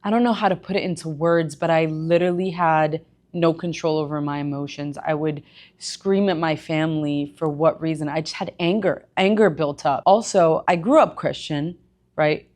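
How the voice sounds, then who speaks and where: A young woman from Egypt tells her own story in a candid, chatty way. Her voice sits low. She drops emphatic little asides as she goes. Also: steady tone, normal speed, darkish tone. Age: young adult. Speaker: gym owner and personal trainer. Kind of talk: personal storytelling vlog.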